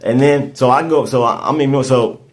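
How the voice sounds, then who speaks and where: A man's voice: medium pitch, brisk. A man, hosting a podcast and telling a story in casual chat, rambling and restarting his words often. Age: 30s. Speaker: podcast host.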